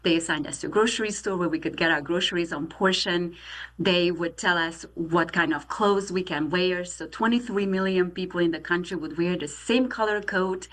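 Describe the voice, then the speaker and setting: Romanian-accented woman guest, middle-aged, slightly low voice, earnest steady storyteller. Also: moderate pace. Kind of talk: testimony interview.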